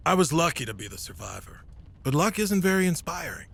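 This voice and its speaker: Male knight hero, fantasy audio drama. Gravelly, forceful, widely swinging pitch, battle-hardened. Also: very animated, a little fast, heavily acted. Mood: rueful, reflective. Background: faint music.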